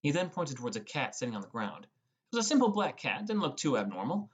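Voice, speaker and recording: A man narrates a first-person audiobook story. His voice sits at a middle pitch, delivering scripted prose. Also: sing-song, quick pace, husky.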